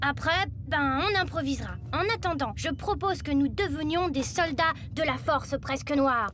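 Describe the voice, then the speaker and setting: A woman voicing a plucky, quick-witted young boy hero in a cartoon, spirited and boyish. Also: high-pitched, fairly bright tone, slight background noise. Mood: eager, resourceful. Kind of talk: cartoon voice acting.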